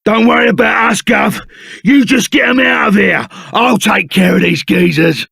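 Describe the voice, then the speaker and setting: An actor playing a rough, loyal, excitable henchman in a video game, with a gravelly voice, a Cockney accent and blunt, chummy, slangy talk. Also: high-pitched, moderate pace, no room sound, clean studio sound, exaggerated voice. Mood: alarmed, resolute. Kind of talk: video game character voice acting.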